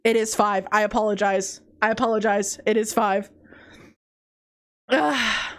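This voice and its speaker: A woman's voice: fairly even intonation, a little slow, a bit bright. A woman streamer chatting casually with her chat, teasing and laughing often, with a congested nose. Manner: playful, teasing, laughs often, swings between high squeals and low mutters. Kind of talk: casual live-stream chat reading confessions.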